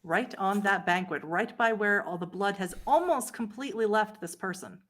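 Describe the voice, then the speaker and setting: A woman's voice: medium pitch, normal speed, fairly bright tone. A woman running a tabletop roleplaying game as game master, narrating it like a dramatic story.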